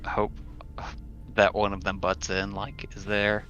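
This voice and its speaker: A man playing a tabletop roleplaying game, speaking casually and deliberately with some hesitation as he talks through his character's actions. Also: fairly low pitch, completely flat delivery, sharp tone. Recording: dull audio with music behind.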